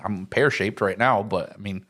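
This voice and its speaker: A man's voice: fairly low pitch, normal speed, a bit bright. Rambling American man in his early thirties. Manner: rambling, frequent "like". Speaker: podcast co-host. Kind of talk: casual chat.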